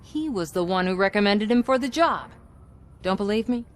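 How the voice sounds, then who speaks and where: A woman's voice: mid-range voice, animated, moderate pace, lightly acted. An actress playing a scheming, manipulative woman in charge of a commercial shoot in a video game, sly and assertive. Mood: defensive, irritated. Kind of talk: video game cutscene acting.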